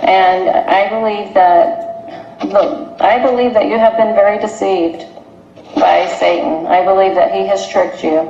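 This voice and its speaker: Muffled, echoey, mellow woman on phone. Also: medium pitch, steady tone, unhurried. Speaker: phone caller questioning a friend. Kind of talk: serious private phone conversation. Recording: muffled, echoey, noisy recorded phone call.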